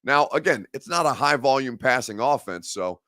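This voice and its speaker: A man hosting a live-stream sports talk show, hoarse and gravelly from a head cold, rambling and conversational as he reads viewer comments. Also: mid-range voice, animated, normal speed, sharp tone, dry recording, studio quality. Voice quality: gravelly, growly.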